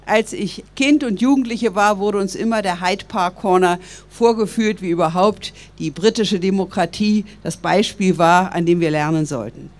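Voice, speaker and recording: An older woman from Berlin giving a measured, earnest public speech outdoors into a stand microphone. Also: medium pitch, a little mellow. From West Berlin, Germany. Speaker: public speaker. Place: outdoors by stone columns.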